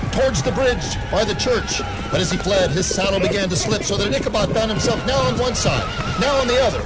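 A man's voice: sits high, rough recording. Theatrical male radio play narrator. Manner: theatrical, building tension. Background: music.

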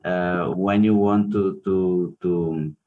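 Slow, deep-voiced Italian man. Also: fairly even intonation, phone-quality sound. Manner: hesitant, many fillers and restarts. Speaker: pianist and music professor, interview guest. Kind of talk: reflective interview answers.

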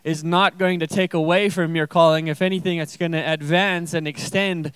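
A man's voice: on the high side, fairly even intonation, normal speed, a bit bright, smooth, no room sound. A young man from Zimbabwe, a missionary guest speaker, giving an informal testimony to a church congregation.

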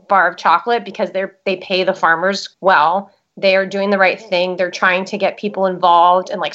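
A woman's voice: medium pitch, a bit bright. A young American woman, a documentary producer, answering interview questions in a chatty way with many fillers. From United States. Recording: dull lo-fi sound.

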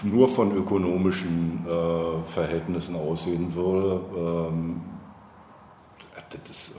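Low-voiced man in an echoey room. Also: mellow, slight background noise. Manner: hesitant, searching for words, thinking aloud. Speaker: interview guest, local politician. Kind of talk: reflective conversational interview. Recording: roomy, echoey indoor sound.

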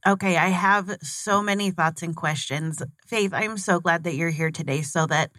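Woman interviewer, low register, earnest and encouraging, dry close sound. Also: normal speed, fairly bright tone, no echo. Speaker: host. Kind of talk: interview conversation.

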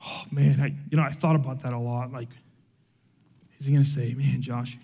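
A man's voice: medium pitch, steady tone, normal speed, vocal fry, dark tone, breathy edge, big-room sound. A young man born in Cuba, with no accent, preaching a sermon full of personal testimony in an earnest, emotional and conversational way.

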